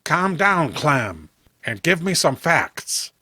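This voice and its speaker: A man voicing a skeptical small-town sheriff in a horror story, brisk, dismissive and full of authority. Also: fairly high pitch, sing-song, bright, forceful tone, slightly rough, dry recording, clean studio sound, heavily acted. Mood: commanding.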